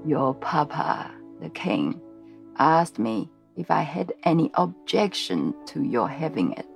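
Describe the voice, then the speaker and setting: Ancient calm royal granny, a woman putting her on, slow low gravelly voice, soft music. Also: heavily acted. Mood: serene. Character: very old royal great-great-grandmother, calm, mysterious.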